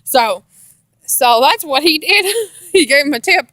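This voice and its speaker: A woman's voice: sits high, lively intonation, measured pace, a bit bright, no room sound, clean studio sound. An American woman telling a casual personal story in a rambling, repetitive way with folksy grammar. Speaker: storytelling vlogger.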